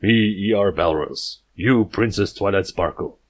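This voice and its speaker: A man plays a brawny jungle stallion from a storybook, blunt and sparing with words. The voice sits low and husky, and every line comes out as clipped, caveman-like broken speech. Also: unhurried. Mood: stiff, formal. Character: gruff, terse muscular jungle stallion, broken-English author.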